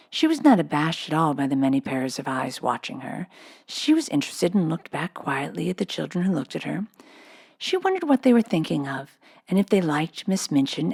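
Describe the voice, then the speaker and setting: A woman's voice: low-pitched, gravelly, darkish tone, breathy edge. A woman reading a children's storybook aloud as scripted narration. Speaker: audiobook reader.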